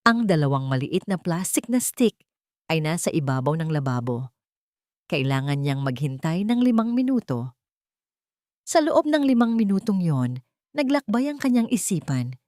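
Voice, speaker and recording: Low-voiced woman narrating a romantic drama. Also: very animated, normal speed, darkish tone, no echo, clean studio sound. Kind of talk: scripted dramatic story narration.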